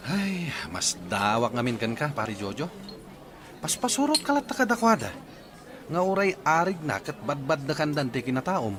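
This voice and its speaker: A frank, loyal friend with an Ilocano accent gives advice in a lively, rising-and-falling voice, played by a man. Restaurant crowd chatter fills the background in this radio drama. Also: fairly high pitch, moderate pace. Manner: frank, advising.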